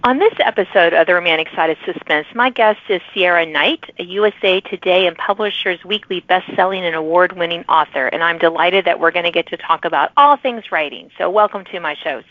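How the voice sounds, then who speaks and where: A woman's voice: fairly low pitch, fairly quick, hardly any pauses, no echo, narrow, tinny audio. Chatty woman podcast host, band-limited sound. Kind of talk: casual interview chat.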